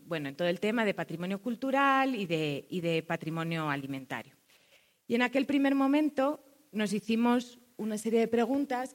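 A woman from Spain with a Spanish accent, presenting a community project at a public panel as a cultural manager. She speaks thoughtfully, pausing on fillers and often closing a point with a questioning "no?". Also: mid-range voice.

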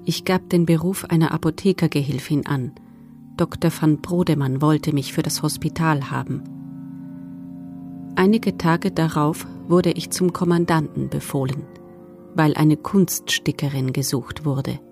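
A composed woman prisoner recalls camp life for a radio documentary drama. Her voice is very low. She speaks soberly and with measure, over faint music. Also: measured pace, darkish tone. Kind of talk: dramatised testimony reading.

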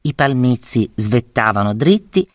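A woman storyteller narrating a fairy tale expressively, in theatrical, measured phrases with pauses. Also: very deep voice, animated, no room sound, studio quality.

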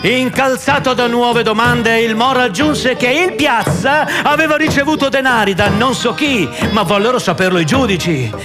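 A man narrating radio theatre with wry commentary, in an expressive, ironic storytelling manner. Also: very high voice, normal speed, hardly any pauses. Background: music.

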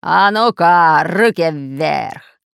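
A woman voicing a red-bearded male pirate captain, a gloating storybook villain with a hoarse, barking voice. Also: sits low, no room sound, studio quality. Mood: menacing. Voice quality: hoarse, raspy. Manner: barking, gloating, drawn-out menace.

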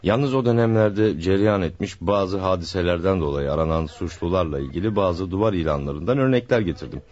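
Man acting low-voiced dutiful police subordinate, dull recording. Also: a little fast, a little mellow, lightly acted. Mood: earnest, reporting. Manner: respectful, orderly reporting. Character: dutiful male police subordinate reporting on his inquiry. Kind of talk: radio theatre acting. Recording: dull, lo-fi radio drama recording.

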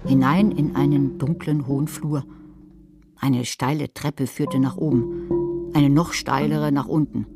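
An actress playing a dry, sardonic divorced librarian of 63 in a radio drama, speaking with understatement. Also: female voice, rumbling low, fairly even intonation, a little slow, over loud music. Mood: wry narration. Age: 60s. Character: dry 63-year-old divorced retired female librarian. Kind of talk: radio drama narration and dialogue.

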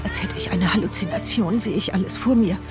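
A woman's voice: mid-range voice, brisk, natural voice. An anxious inner voice of a paralysed woman, voiced by an actress, speaking thoughts no one else can hear. Her tone is tense and introspective, set against faint music. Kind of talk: radio play inner monologue.

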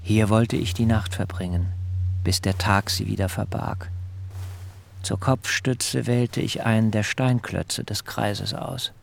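An actor playing an awed biblical patriarch who recounts a dream vision solemnly in a literary radio drama, with measured, rapt delivery. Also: male voice, on the low side, fairly even intonation, creaky, exaggerated voice. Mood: quiet, weary.

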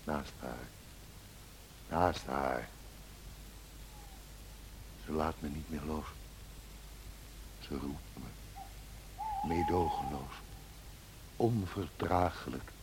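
Haunted dying master for radio drama, extremely deep, slow, halting murmur, clear hiss behind. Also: male voice, full character voice. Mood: tormented, exhausted. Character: Heathcliff, haunted, gaunt, brooding dying master. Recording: noisy, hissy old radio recording.

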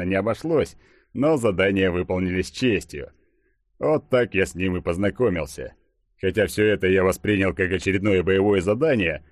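A man voicing a burly, tipsy ex-soldier in an audiobook, gruff and suspicious, rambling colloquially. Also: low-pitched, no echo, clean studio sound, natural voice.

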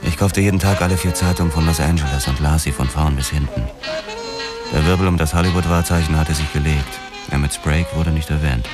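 A very deep male voice narrates as a brooding detective in a noir radio drama. The delivery is measured, and music plays clearly behind it. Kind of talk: first-person narration in a crime radio play.

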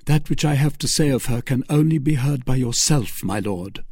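A man's voice: medium pitch, moderate pace, a little mellow, slightly rough, no echo, studio quality, pitched above the performer's own voice. A man voicing an intense young officer. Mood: urgent. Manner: urgent, rising, insistent. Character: earnest young male officer, intense and pleading.

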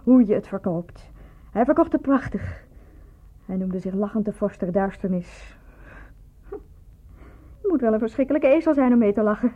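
A woman's voice: mid-range voice, lively intonation, moderate pace, pauses often, close to an everyday voice. An actress in a radio play voicing a lovestruck, self-reproaching provincial woman, speaking in a reflective, confessional manner. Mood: bitter, rueful. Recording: thin, phone-like old radio recording.

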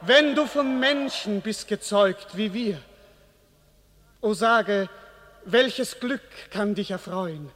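A man's voice: high voice, slow, fairly bright tone. A solemn king in a vast hall. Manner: solemn, ceremonial proclamation. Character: a regal king addressing his people. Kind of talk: classic verse radio drama. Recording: long reverb, as in a cathedral.

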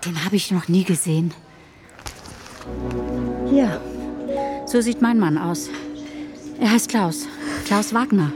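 A woman's voice: medium pitch, slow, pauses often, natural voice. An actress playing a concerned, puzzled woman in conversation in a German radio drama. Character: concerned woman questioned about a missing man. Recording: voice over clear music.

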